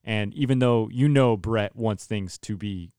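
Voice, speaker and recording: A young man talks through a personal story in an easygoing podcast conversation, and his voice comes across smooth and resonant. He is earnest and restarts his sentences often. The sound is close and dry, with no room around it. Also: on the low side, a little slow, darkish tone, no echo. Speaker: worship leader. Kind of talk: casual podcast chat.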